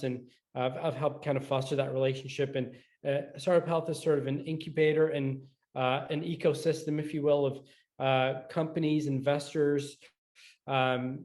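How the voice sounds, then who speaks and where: A middle-aged man from Scotland who moved to the US as a teenager, answering interview questions conversationally in a measured way with frequent pauses. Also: mid-range voice, darkish tone. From Scotland, moved to the US in high school. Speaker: guest, orthopedic surgeon.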